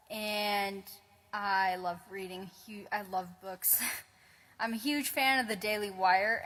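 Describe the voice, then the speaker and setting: A woman's voice: mid-range voice, a bit bright. Eager teenage girl introducing herself. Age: teens. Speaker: student speaker. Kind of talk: self-introduction.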